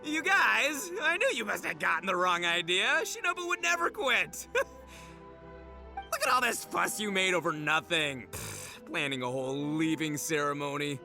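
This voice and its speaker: An actor playing a loud, brash young gang boss in a video game, boisterous and theatrical. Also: male voice, high voice, very animated, moderate pace, gravelly, soft background music, exaggerated voice. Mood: blustering, amused.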